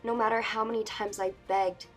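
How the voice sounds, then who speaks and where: A woman voicing a sardonic, anxious teenage princess-to-be, narrating a fantasy book in a measured, wistful way. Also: mid-range voice, level tone, faint music behind, close to an everyday voice. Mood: wry. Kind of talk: first-person dramatic book reading.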